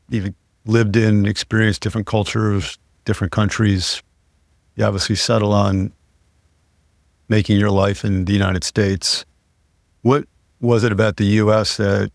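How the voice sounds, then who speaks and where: A man hosting a podcast, chatting casually and asking his guest relaxed questions. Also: lowish voice, vocal fry, dry recording, studio quality. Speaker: podcast host.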